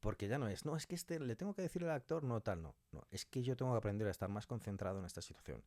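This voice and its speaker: A man's voice: fairly low pitch, lively intonation, fast, a little mellow, no room sound, clean studio sound. A man talking as a podcast guest about his creative work, emphatic and fond of acting out little quotes. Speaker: podcast guest, audio fiction writer and director. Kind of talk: animated interview conversation.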